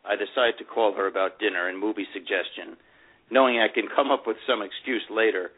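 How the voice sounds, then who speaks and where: Man acting older divorced guy telling his love story, wistful n proud, kinda halting, muffled radio-ish sound. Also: fairly low pitch, steady tone, natural voice. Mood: reflective. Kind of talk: dramatic memoir reading, first-person narration.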